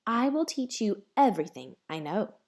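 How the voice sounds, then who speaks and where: A woman voicing a seasoned male high-wire performer in a storybook, a patient and earnest teacher who speaks in instructive declarations. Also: very animated, normal speed, darkish tone.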